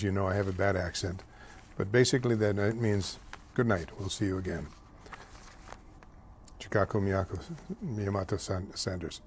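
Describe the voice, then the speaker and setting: An older man hosting a local talk show, speaking haltingly with many fillers and slips over names, louder and more heated on politics. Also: lowish voice. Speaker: host.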